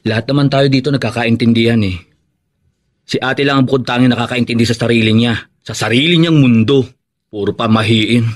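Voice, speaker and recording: An actor playing a supportive, reasonable older brother in a Filipino radio drama, speaking reassuringly. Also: male voice, mid-range voice, brisk, no echo, studio quality, lightly acted.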